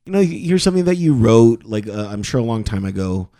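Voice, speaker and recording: A man co-hosting a podcast in casual chat, often laughing and halting with many fillers and restarts. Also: on the low side, big pitch swings, mellow. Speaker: podcast co-host.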